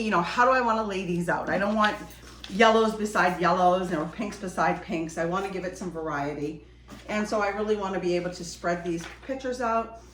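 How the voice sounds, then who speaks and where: A woman's voice: mid-range voice, a bit bright. Woman, enthusiastic DIY chat, sniffly, away from the mic, faint background noise. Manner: chatty, enthusiastic, addresses viewers as friends. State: sniffly from a cold. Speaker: DIY vlogger. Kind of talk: casual how-to chat while crafting. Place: home decorating space, indoors. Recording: roomy echo, distant from mic, faint noise.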